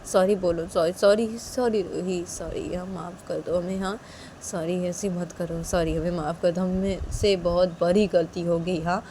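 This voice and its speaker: A woman's voice: fairly low pitch, steady tone, a little slow, dark tone, slight background noise, close to an everyday voice. A woman voicing a timid young father who pleads and stammers anxiously. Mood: pleading.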